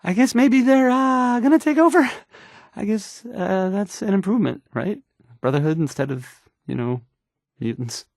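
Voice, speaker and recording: An actor playing a nervous, bumbling, insecure male radio DJ in a video game, with a halting, stammering, unsure delivery. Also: high-pitched, animated, darkish tone, dry recording, clean studio sound. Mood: nervous, flustered. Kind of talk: in-character radio news broadcast, video game voice acting.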